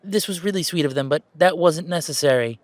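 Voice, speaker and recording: A man's voice: fairly high pitch, a little fast, no echo, lightly acted. A man playing an affectionate, anxious young gamer in a conversational audio roleplay, talking in a hesitant, rambling way. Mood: flustered, apologetic. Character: affectionate, anxious, self-deprecating young gamer, wary of family.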